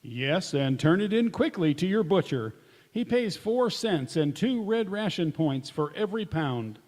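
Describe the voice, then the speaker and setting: A man's voice: high-pitched, big pitch swings, a little slow, a little mellow. A man playing an earnest 1940s radio announcer delivering a wartime public appeal. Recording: echoey hall, long reverb.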